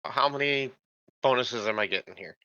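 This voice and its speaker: A man's voice: mid-range voice, moderate pace, sharp tone, no echo, highs cut off. A man chatting casually as a tabletop game player, hesitant and stammering.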